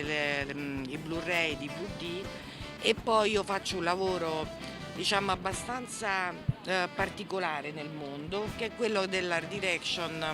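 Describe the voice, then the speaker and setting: A woman art director explaining her work informally in an interview, searching for words. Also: fairly low pitch. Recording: music playing behind the voice.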